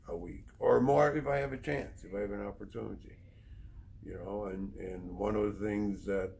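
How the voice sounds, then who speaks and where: A middle-aged or older man from Poland, sharing personal testimony in a plain-spoken, halting, rambling way as a recovery speaker. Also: fairly low pitch, creaky, darkish tone, rough recording. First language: Polish. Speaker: recovery speaker, treatment counselor.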